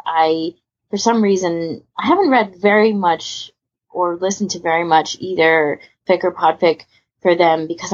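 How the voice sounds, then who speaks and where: A woman co-hosting a podcast, chatting casually in a rambling, conversational way full of asides. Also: medium pitch. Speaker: podcast co-host. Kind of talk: casual podcast chat, recommending audio stories. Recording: muffled, lo-fi voice recording.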